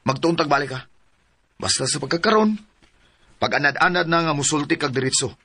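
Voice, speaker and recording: An actor playing a patient companion who gives instruction in a radio drama. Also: male voice, fairly high pitch, fairly quick, sharp tone. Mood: patient, instructing.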